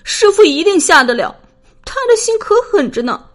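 A woman's voice: sits high, big pitch swings, normal speed, faint music behind, pitched above the performer's own voice. A woman voicing a troubled, fiery and petulant young princess in an audiobook drama. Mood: anxious, defiant.

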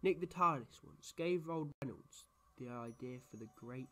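A man's voice: mid-range voice, moderate pace, fairly bright tone, natural voice. A man playing an eccentric, playful time-travelling alien in a fan audio drama, rambling and boastful with whimsy. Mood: cheerful, rambling. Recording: noisy track with background ambience.

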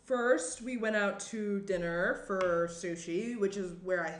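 A woman's voice: mid-range voice, a little slow, close to an everyday voice. A young woman playing an anxious, guilty woman who hesitates and trails off in a screenplay table read. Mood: evasive, guilty. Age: young adult. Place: small room at a table. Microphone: shared tabletop microphone. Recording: roomy indoor sound, clear background noise.

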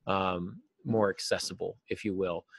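A man's voice: sits low, steady tone, a little slow, vocal fry, husky, dry recording. A middle-aged man giving conversational answers as a guest writing teacher in an interview, speaking over a video call from an office. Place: small office, indoors.

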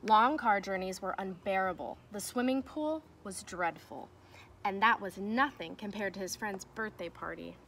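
A young woman reads a children's story in a medium-pitched voice. Her delivery is expressive, with emphatic swells on key words. The recording is made outdoors, with some ambient noise behind her. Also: moderate pace. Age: young adult. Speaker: teacher reading aloud to pupils. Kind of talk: children's storybook read-aloud. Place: outdoors in a fenced backyard.

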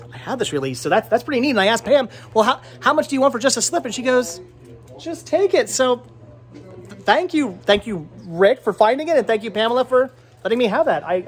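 High-pitched man vlogging, stammering. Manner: stammering, repeats words and phrases. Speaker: vlog host. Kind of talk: casual chat to camera. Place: small cluttered movie and game store. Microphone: handheld camera at arm's length.

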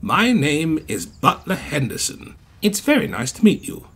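A man's voice: fairly high pitch, big pitch swings, measured pace, very noisy, pitched above the performer's own voice. Man as a polite, genteel visiting engine. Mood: courteous.

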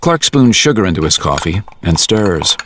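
A man acting as the narrator of an audio drama, telling it in a measured, descriptive, literary way. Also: low-pitched, big pitch swings, fairly bright tone, a little hiss or hum. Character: omniscient male storyteller narrating in present tense.

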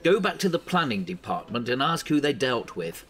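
Avuncular veteran newspaper editor. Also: male voice, medium pitch, sing-song, normal speed, fairly bright tone. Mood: encouraging, chatty. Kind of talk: audio drama acting.

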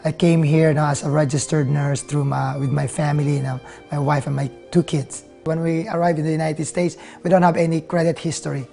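Earnest Filipino man, gravelly voice. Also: fairly high pitch, little pitch movement. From Philippines. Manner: earnest, halting with many fillers and restarts. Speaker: nurse turned network marketer. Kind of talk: interview testimonial. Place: living room, seated on a leather couch. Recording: soft music under the voice.

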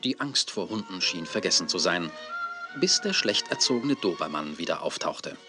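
An actor narrating a children's audio drama as a storyteller, in scripted style. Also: male voice, low-pitched, expressive, moderate pace, over music. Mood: storytelling. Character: storyteller of a gentle children's tale.

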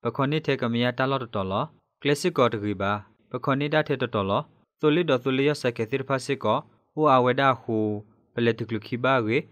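A man's voice: mid-range voice, fairly quick, smooth, no echo, dull audio. Dry-sounding man reading radio news. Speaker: news presenter.

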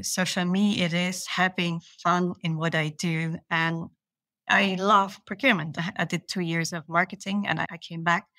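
A woman's voice: lowish voice, bright, forceful tone, no echo. A woman from Belgium, a procurement manager, answering interview questions with enthusiasm and earnest small restarts.

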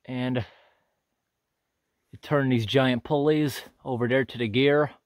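Um homem gravando com câmera de mão enquanto caminha por uma trilha na floresta, comentando de forma descontraída e observadora o que encontra. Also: male voice, mid-range voice, slow, no echo, clean studio sound. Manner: relaxed, observant, thinking aloud. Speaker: hiker filming a walking tour. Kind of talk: casual walk-and-talk narration. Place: outdoors on a forest trail. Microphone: handheld camera.

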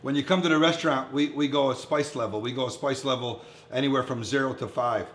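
A man's voice: mid-range voice, fairly even intonation, fast talker, a bit bright. Chatty male chef in an echoey kitchen. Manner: chatty, informal, addressing home viewers. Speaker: chef hosting a cooking show. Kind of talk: casual cooking demonstration. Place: commercial-style kitchen, indoors. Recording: echoey room, some background noise, kitchen clatter.

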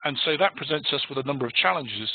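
A man's voice: mid-range voice, expressive, fast, slightly rough, dry recording. British man presenting over a phone-like line. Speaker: presenter, chief operating officer. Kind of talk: webinar presentation. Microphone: phone or web conference line.

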